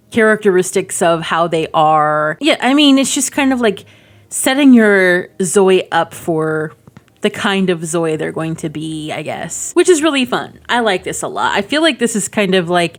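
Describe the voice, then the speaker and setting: A woman giving casual gaming commentary as a video host, chatty and enthusiastic, thinking aloud as she goes. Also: on the low side. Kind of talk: casual game preview commentary.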